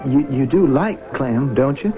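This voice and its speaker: A man's voice: medium pitch, animated, a little slow, lightly acted. An actor playing a shy, earnest young country man, hesitant and folksy, in a 1970s radio drama. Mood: affectionate, earnest. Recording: tinny 1970s radio broadcast, faint music.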